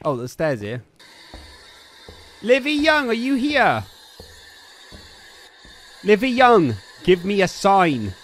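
A man's voice: sits high, huge pitch swings, slow, long pauses, fairly bright tone, a little hiss or hum. A young man from Somerset with a Somerset accent, streaming a ghost-hunting game and chatting casually into a desk mic in a small room. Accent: Somerset English. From Somerset, England. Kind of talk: casual gaming commentary. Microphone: studio mic on a boom arm.

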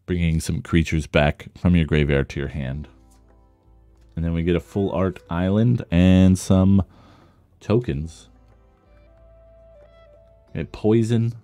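Male card-game creator, very low mellow voice with a touch of roughness, casual unboxing commentary, music underneath. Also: no echo. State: slightly rough voice from talking a lot. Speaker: trading card content creator.

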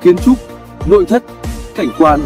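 High-voiced man reading promo over music. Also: lively intonation, a little slow, a little mellow. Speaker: promo announcer. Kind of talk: scripted promotional outro. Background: hip hop music.

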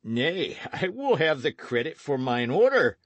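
A man voicing a drunken old male outlaw disguised as a monk, tipsy and hiccuping, with a boisterous, slurring delivery in a higher, slower voice than his own. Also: very animated, dry recording, dull audio. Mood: drunken bluster.

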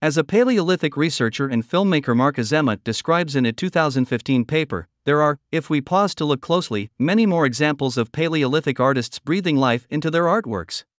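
A man reading a scripted documentary narration in an even, measured way. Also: on the high side, dry recording, studio quality.

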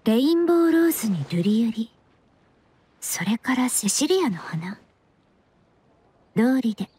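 A woman voicing a polite, poised young female perfumer in an anime game, soft-spoken, courteous and formal. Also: on the high side, big pitch swings, unhurried, husky, heavily acted. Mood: thoughtful.

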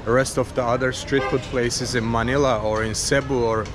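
A man's voice: mid-range voice, fairly even intonation, fairly quick. A man in his thirties from Azerbaijan, talking casually to a handheld camera while he walks through a busy outdoor street market. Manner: chatty, friendly, talks to camera while walking. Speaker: travel vlogger. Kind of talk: casual walking vlog narration. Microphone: handheld camera on a selfie stick at arm's length. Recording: noisy outdoor street recording.

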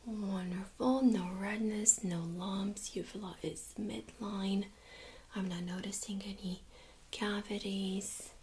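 A young woman speaks in a soft, breathy, whispery voice, gently and politely giving step-by-step instructions in an ASMR medical role-play. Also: medium pitch, fairly even intonation, slow pace. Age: young adult. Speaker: ASMR performer playing a medical examiner. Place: small indoor studio room.

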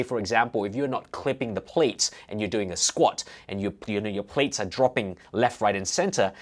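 A man's voice: on the low side, fairly bright tone. A middle-aged man hosting a casual reaction video, explaining and giving coaching advice in an indoor office. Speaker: host, fitness coach.

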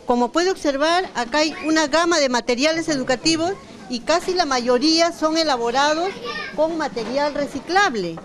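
A woman's voice: high voice, normal speed. A woman guiding a reporter through a learning resource room, explaining steadily. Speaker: educational resource coordinator. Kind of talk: guided tour explanation.

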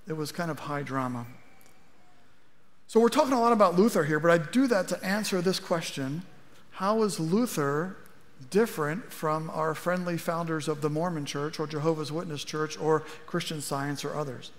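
A man's voice: fairly high pitch, moderate pace. A middle-aged man preaching a sermon from a church stage in a conversational style with wry asides, speaking into a headset mic. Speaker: pastor. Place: large church auditorium stage. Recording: long hall reverb.

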